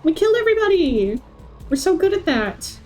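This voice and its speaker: A woman's voice: very high voice, very animated, a little fast, a little mellow, faint music behind, poor audio. A woman streamer chatting casually and playfully over live gameplay, chirpy and whimsical. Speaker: game streamer.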